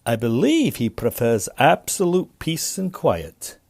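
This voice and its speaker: An actor playing a polite, discreet railway conductor in a Victorian audio farce, deferential and a little hesitant. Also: male voice, medium pitch, sing-song, slow, creaky, a little mellow, exaggerated voice. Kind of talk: period farce audio drama acting.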